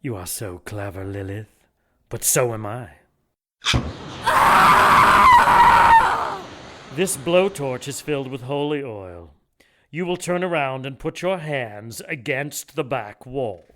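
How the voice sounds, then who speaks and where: An actor playing a menacing, pompous leader of a religious order in an audio drama, speaking formally and gloatingly. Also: male voice, medium pitch, expressive, unhurried, close to an everyday voice. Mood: commanding.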